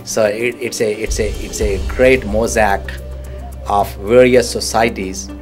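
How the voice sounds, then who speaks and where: A man's voice: on the low side, fairly even intonation, unhurried, over music. A man speaking earnestly in a documentary interview, often restarting his phrases.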